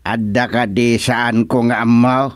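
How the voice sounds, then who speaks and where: A man playing an excitable, exasperated father in a comedic radio drama, nagging and exclaiming. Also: medium pitch, a little slow, dry recording, close to an everyday voice. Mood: scolding.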